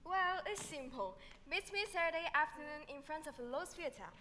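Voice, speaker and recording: A woman's voice: high voice, expressive, normal speed, pushed and bright, long reverb tail, a little hiss or hum. A girl playing a wisecracking male sidekick. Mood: joking. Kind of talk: school stage musical acting.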